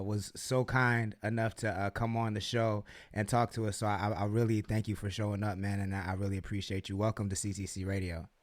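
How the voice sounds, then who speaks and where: A man hosting a casual radio interview, hyped and chatty, with lots of fillers and encouraging shout-outs. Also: on the low side, no echo. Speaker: radio show host.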